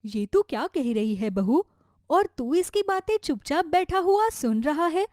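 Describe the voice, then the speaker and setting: A woman voicing a gentle, wronged old mother-in-law in a cartoon story, speaking with care and protest. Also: high-pitched, very animated, measured pace, no room sound, clean studio sound. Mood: hurt, protesting. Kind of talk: Hindi cartoon story voice acting.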